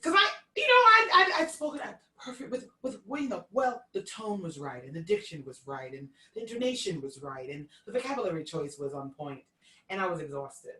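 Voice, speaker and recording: A woman's voice: fairly low pitch, fairly quick, reverberant, recorded from a distance. A woman host from New York with a Queens accent, talking candidly and animatedly on a video call. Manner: lively, candid, animated storyteller. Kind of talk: casual conversation on a video call.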